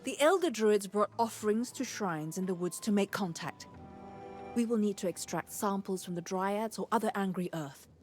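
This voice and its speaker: A woman's voice: medium pitch, a little mellow, natural voice. An actress playing a curious, analytical woman scholar in a fantasy video game, articulate and inquisitive. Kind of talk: video game dialogue acting.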